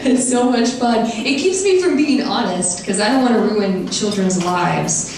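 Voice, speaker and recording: A woman's voice: medium pitch, expressive, a little slow, a little mellow. A young woman doing a stand-up set in a dry, self-mocking style on a comedy club stage. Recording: echoey room from far off, crowd noise.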